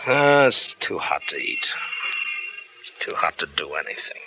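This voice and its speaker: An actor playing a jobless husband in a 1940s radio drama, bitter and sullen, with sudden flashes of anger. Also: male voice, low voice, big pitch swings, measured pace, music in the background, full character voice. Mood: brooding. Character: jobless husband, brooding and touchy. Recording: dull old radio broadcast with faint hiss.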